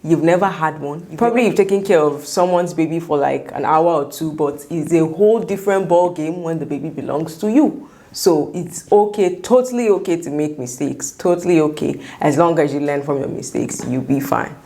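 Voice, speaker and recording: A young woman with a Nigerian accent speaks in a low register with plenty of creak. She chats directly to her viewers as a vlogger, offering advice in an emphatic way and repeating words to press a point home. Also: moderate pace, mellow. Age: young adult. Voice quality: slightly croaky. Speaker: vlogger sharing first-time mom advice. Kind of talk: chatty advice vlog to camera.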